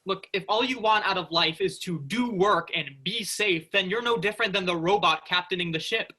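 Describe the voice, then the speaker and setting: A man's voice: sits high, normal speed, sharp tone. A man playing a restless, sarcastic space freighter worker, informal and exasperated, in a staged reading. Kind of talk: virtual staged reading of a sci-fi play.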